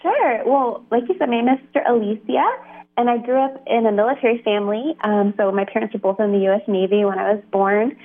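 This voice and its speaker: A woman's voice: on the high side, steady tone, brisk. A woman, a religious sister, telling her story as a guest in a chatty, upbeat way. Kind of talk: radio interview, phoned in. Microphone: phone. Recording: tinny phone line.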